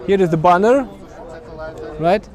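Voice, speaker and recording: A man's voice: high voice, a little slow, a little mellow. A man hosting an on-site interview at an outdoor event, hesitant and full of fillers and restarts. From Ukraine. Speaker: YouTube host interviewing at an event.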